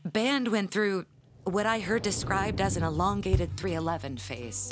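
An actress playing a proud, aggrieved, self-important middle-aged church bell ringer in an audio drama, speaking in a theatrical, haughty, deliberate way. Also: female voice, mid-range voice, over loud music, natural voice. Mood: aggrieved, indignant.

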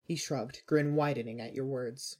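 A man narrating a dramatic second-person story in a solemn, deliberate, emphatic way. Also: mid-range voice, fairly even intonation, a little mellow.

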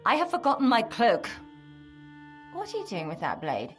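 An actress in an audio drama playing a bossy, scornful, nagging medieval wife with an imperious manner. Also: female voice, on the high side, huge pitch swings, normal speed, faint music behind. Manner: imperious, scolding.

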